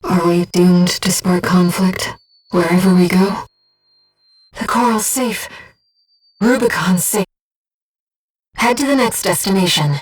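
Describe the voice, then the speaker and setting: An actress playing a gentle, devoted female voice in a pilot's head, a composed and precise mission guide in a video game. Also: on the low side, steady tone, measured pace, long pauses, husky, distant mic. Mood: earnest, pleading. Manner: composed, precise, earnest delivery. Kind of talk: video game voice acting, tactical briefing.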